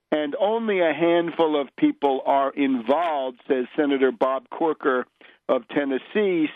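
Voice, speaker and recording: A man delivering an unscripted political radio monologue in a scornful, emphatic, opinionated manner, with halting, deliberate phrasing. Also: a little high, dry recording. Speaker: political radio commentator and host. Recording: dull, lo-fi radio audio.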